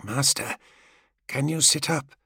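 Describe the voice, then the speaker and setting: A man voicing a gentle, devoted servant in a story, speaking in a soft, deferential, soothing manner. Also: fairly high pitch, slow pace, husky, dry recording, studio quality, voice pushed up. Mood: gentle, concerned.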